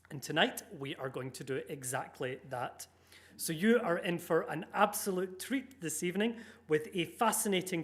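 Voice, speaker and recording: A man hosting a public lecture, giving a formal, upbeat welcome and introducing the speaker in an announcer-like way. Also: on the high side. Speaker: event host. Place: lecture room with audience.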